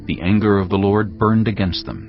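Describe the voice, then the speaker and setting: A man narrating scripture from a script in a formal, measured storytelling manner. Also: lowish voice, lo-fi sound. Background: music.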